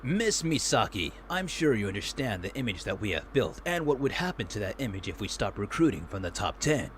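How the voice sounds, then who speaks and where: A man playing a polite, unyielding businessman in a video game, speaking in a formal, measured, firm way. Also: fairly low pitch, big pitch swings, slight background noise. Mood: firm, dismissive. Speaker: game character, company executive.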